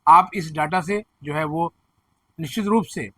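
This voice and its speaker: A man with a hoarse throat presenting education news, explaining admission figures and earnestly reassuring students and parents. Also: fairly high pitch, unhurried, sharp tone, no room sound. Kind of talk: direct-to-camera advisory commentary.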